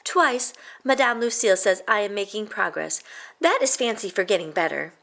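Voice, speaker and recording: A woman reading a children's picture book aloud in an expressive, animated storytelling way. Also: mid-range voice, normal speed, creaky. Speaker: storybook reader.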